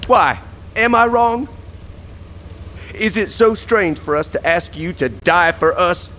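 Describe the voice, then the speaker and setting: A man's voice: high-pitched, animated, slow, sharp tone, a little hiss or hum, exaggerated voice. A man playing a bitter, grieving soldier in a sci-fi game, with an impassioned delivery. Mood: bitter, accusing.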